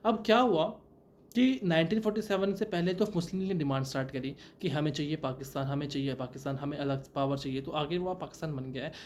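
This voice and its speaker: A man's voice: fairly high pitch, fast talker, a little mellow, slight background noise. A young man giving a law lecture, asking rhetorical questions and answering them himself, with many English terms mixed in. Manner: mixes English terms into Hindi. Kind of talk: explanatory lecture.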